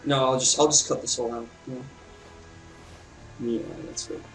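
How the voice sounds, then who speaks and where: A man's voice: mid-range voice, flat delivery, moderate pace, a bit bright. A man giving casual, informal let's play commentary with reactive asides. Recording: slightly distant mic, game music behind.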